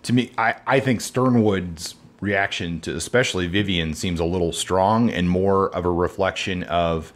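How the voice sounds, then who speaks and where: A man with a fairly low voice co-hosts a casual book-discussion podcast. He thinks aloud with small restarts and breaks off to read passages from the novel. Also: moderate pace, few pauses. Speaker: podcast co-host.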